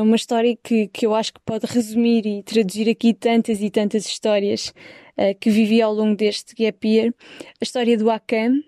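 A woman's voice: medium pitch, mellow, no echo, studio quality. A woman in her twenties with a European Portuguese accent, telling a personal travel story with an upbeat opening and frequent hesitation sounds. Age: 20s. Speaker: guest sharing a travel story. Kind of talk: recorded personal testimony.

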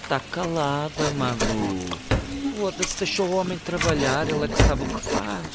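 An actress playing an irritable, foul-mouthed partner in a radio comedy soap, snappish and muttering, with a European Portuguese accent. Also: female voice, low voice, lively intonation, moderate pace, gravelly. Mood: irritated. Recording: very noisy recording.

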